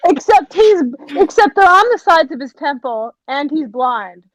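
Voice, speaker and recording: A woman's voice: high voice, lively intonation, measured pace, noisy background. A Canadian woman chatting casually on a group voice call, giggly and talkative, often laughing between remarks. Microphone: home voice-call mic. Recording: muffled voice-chat audio.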